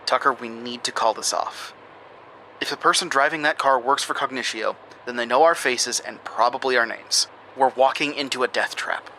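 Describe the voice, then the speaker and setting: An actor playing a weary, uneasy survivor who tells his story in a halting, confessional way for an audio drama. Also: mid-range voice, pushed and bright, a little hiss or hum. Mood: anxious, urgent. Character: weary, uneasy male survivor recounting a horror ordeal. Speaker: narrator and lead character. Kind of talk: audio drama first-person narration.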